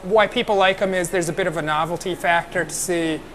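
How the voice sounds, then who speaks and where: A man's voice: high voice, steady tone. A young American man interviewing a guest on a film set, chatty and joking about himself. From United States. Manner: chatty, self-deprecating, quick to joke. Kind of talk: casual interview chat. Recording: loud background noise on set.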